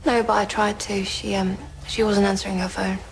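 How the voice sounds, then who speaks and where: A woman's voice: medium pitch, little pitch movement, normal speed, vocal fry, slight background noise, lightly acted, low-quality recording. An actress with an English accent playing a guarded, loyal teenage schoolgirl, reluctant as she answers questions in an audio drama. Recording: faint background ambience.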